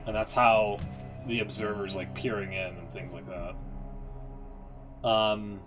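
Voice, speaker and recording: A man's voice: fairly low pitch, level tone, a little slow. A man giving casual gaming commentary as a streamer, in a rambling, hesitant way that often interrupts itself. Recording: music playing behind the voice.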